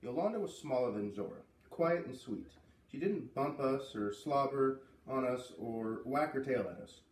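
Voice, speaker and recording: A man narrates a children's book as a read-aloud host. His middle-pitched voice sits slightly away from the mic. The room is echoey, with faint background noise. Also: animated, a little slow, recorded from a distance.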